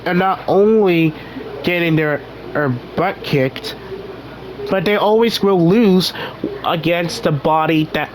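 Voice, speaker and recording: A young man hosting a casual gaming vlog, rambling with many restarts while his voice recovers from being hoarse. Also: a little high, slow pace, a little hiss or hum, poor audio. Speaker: gaming channel host.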